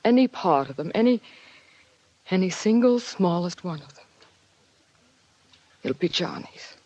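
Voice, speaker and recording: An actress playing a refined, lovelorn married woman in a 1940s radio drama, earnest and pleading, with dramatic pauses. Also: on the low side, lively intonation, warm tone. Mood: pleading, wistful. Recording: dull, lightly noisy 1940s radio recording.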